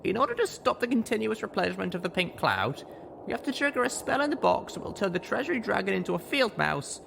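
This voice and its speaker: A man's voice: sits high, big pitch swings, fast, creaky, noisy background, voice pushed up, heavily acted. A man voicing an earnest, exasperated young female pony in an audiobook, with an emphatic, incredulous manner. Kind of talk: acted audiobook dialogue.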